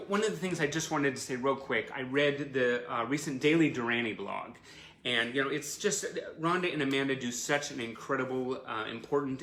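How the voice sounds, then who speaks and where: Bright-voiced effusive man in an echoey room. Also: mid-range voice. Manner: effusive, gushing, encouraging. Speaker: host of a fan livestream show. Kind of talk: casual livestream chat to fans. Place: indoor room, live online stream. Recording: roomy echo, faint background noise.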